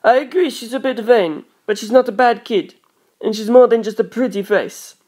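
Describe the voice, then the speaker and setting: A woman's voice: fairly high pitch, moderate pace, a little mellow, voice pushed up, close to an everyday voice. Woman as an easygoing, frank teenage boy. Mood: reassuring. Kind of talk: audiobook character voice.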